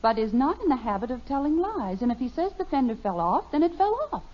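Calm, firm radio mother. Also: sits high, a little fast. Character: calm, loyal wife and mother defending her son. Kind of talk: vintage radio sitcom acting. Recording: muffled old radio broadcast recording.

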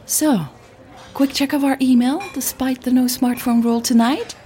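A woman's voice: high voice, steady tone, moderate pace, mellow, faint music behind, voice pushed up, natural voice. A woman voicing a teasing, sociable female tech blogger in an audio drama, playful and mock-exasperated. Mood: casual.

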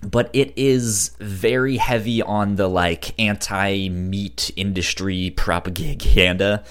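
A man with a stutter and a halting delivery, casually discussing films as a podcast co-host. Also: fairly low pitch, fairly even intonation, a bit bright, clean tone. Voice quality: stutter, repeats first sounds of words. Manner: halting, hesitant delivery with frequent restarts.

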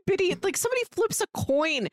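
A woman's voice: extremely high pitch, dry recording, studio quality. A woman co-hosting a podcast in casual chat, retelling things expressively and acting out quotes as she goes. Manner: animated retelling, acts out quotes. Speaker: podcast co-host.

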